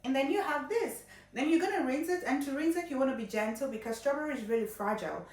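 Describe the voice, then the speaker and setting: A woman's voice: high voice, expressive, fast talker, distant mic, faint music behind. Chatty young woman, Nigerian accent, echoey kitchen. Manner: chatty, playful, laughs often, talks to viewers. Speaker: cooking vlogger. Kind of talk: casual cooking tutorial chat. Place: home kitchen.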